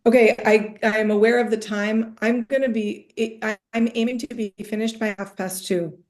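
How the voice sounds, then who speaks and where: A woman's voice: a little high, steady tone, normal speed, darkish tone. A middle-aged woman rabbi leading a group discussion, thinking aloud and searching for her words as she teaches. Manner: thoughtful, searching for words, many restarts. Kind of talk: conversational teaching on a video call.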